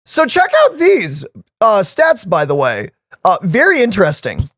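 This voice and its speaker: A man co-hosting a casual game reaction, his pitch swinging up and down as he talks. He explains things loosely, full of "uh" and "like", and sounds close and dry on the mic. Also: measured pace, no echo. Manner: animated, explains game stats with many fillers. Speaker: co-host of a game reaction channel.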